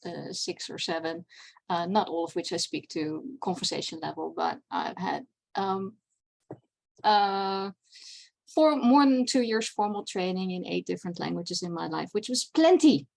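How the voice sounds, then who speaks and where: A woman's voice: lowish voice, a little slow, gravelly, a little mellow, rough recording. A Dutch woman whose first language is Dutch, giving a casual, chatty convention presentation over a video call, with wry asides. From Netherlands.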